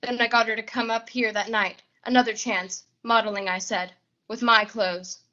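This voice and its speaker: A woman's voice: on the high side, little pitch movement, moderate pace, sharp tone, lo-fi sound. A young woman acting a brazen, blunt, scheming woman in a 1940s-style radio suspense play. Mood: cold confession. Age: young adult. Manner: blunt, clipped. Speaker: high school theatre student actor.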